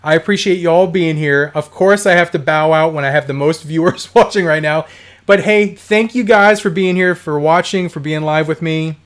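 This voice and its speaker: A man talking off the cuff on a home livestream, his voice hoarse and dry. His pitch sits in an ordinary middle range. He rambles cheerfully, laughs along as he goes, and reads viewer comments aloud. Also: moderate pace. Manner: chatty, rambling, laughs often. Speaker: livestream host. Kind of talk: casual live chat reading viewer comments.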